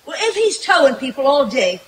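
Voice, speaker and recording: A woman's voice: high-pitched, very animated, fairly quick. A woman playing a plainspoken, incredulous country woman in an old-time radio comedy skit, folksy and exclamatory. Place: outdoor woodland stage at night. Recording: hissy live camcorder recording from a distance.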